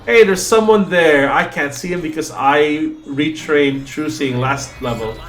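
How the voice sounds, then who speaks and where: A man's voice: on the high side, a little slow, a bit bright, a little hiss or hum. A man whose first language is Cebuano, chatting and joking as he streams a game and reads its text aloud. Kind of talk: let's play commentary.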